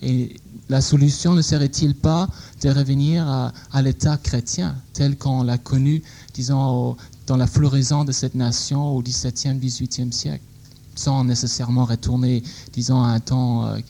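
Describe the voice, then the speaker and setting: Man, warm mellow voice, hesitant, fillers. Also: medium pitch, little pitch movement, moderate pace, gravelly. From Vancouver, Canada. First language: English. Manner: hesitant, many fillers like "disons". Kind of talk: audience question at a talk.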